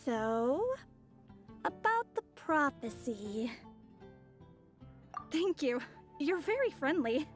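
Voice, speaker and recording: A woman voicing a polite, composed young female traveler in a video game, courteous, measured and gently inquisitive. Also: sits high, sing-song, soft background music, heavily acted. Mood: earnest, courteous.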